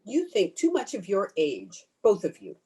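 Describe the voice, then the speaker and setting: A woman's voice: mid-range voice, huge pitch swings, moderate pace, warm tone, slightly rough, heavily acted. A woman playing a blunt, disapproving cousin in old-time radio drama, prim and cutting. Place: at home, recorded over video call.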